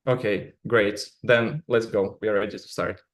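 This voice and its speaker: A man from Russia with a Russian accent, a native Russian speaker giving a conference talk in English over a video call, halting and filling his speech with many "uh"s and "like"s. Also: on the low side, fairly even intonation. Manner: halting, many fillers and restarts. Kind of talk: remote conference presentation.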